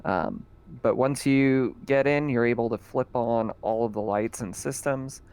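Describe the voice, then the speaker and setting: A man with a gravelly, creaky voice narrates a sci-fi tabletop session as game master. He keeps it relaxed and chatty, at a mid pitch. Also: unhurried.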